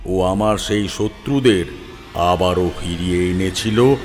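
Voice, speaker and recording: A man playing a grave tantric holy man, recounting horror tales in a solemn, measured way with dramatic pauses. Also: low voice, a little hiss or hum. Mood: grave, ominous. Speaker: storyteller character. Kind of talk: scripted horror storytelling, audio drama. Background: loud background music.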